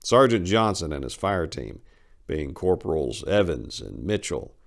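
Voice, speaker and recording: A man's voice: low-pitched, big pitch swings, a little slow, vocal fry. A man giving a dramatic reading of a short story as its first-person narrator.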